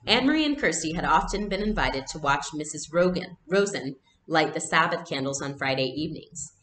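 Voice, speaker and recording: Low-pitched woman reading aloud, echoey room. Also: animated, a little fast, a bit bright. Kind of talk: expressive storybook read-aloud. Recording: echoey room, faint background noise.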